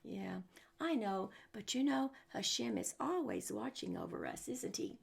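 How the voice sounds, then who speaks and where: A woman's voice: mid-range voice, huge pitch swings, measured pace, creaky, breathy edge. A woman reading a picture book aloud to children, adding exclamations and chatty questions to her young listeners as she goes. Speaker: storyteller and religious teacher for children.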